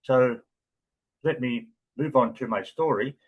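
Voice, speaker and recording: A man with an Australian accent giving an explanatory talk as guest speaker, measured and with frequent fillers. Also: mid-range voice, husky, dull audio. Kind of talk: lecture-style talk.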